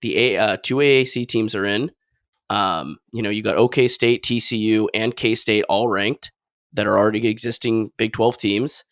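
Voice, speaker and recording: A man's voice: on the low side, fairly even intonation, moderate pace, a bit bright, no echo, studio quality. A man co-hosting a casual sports podcast, laying out his points in an upbeat, optimistic way. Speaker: podcast co-host.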